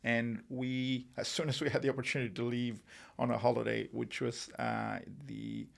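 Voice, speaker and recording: A middle-aged man from Uruguay, raised in Spain, telling his story as an interview guest in a relaxed way, with many fillers and restarts. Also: mid-range voice, normal speed, creaky. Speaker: entrepreneur guest. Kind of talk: podcast interview.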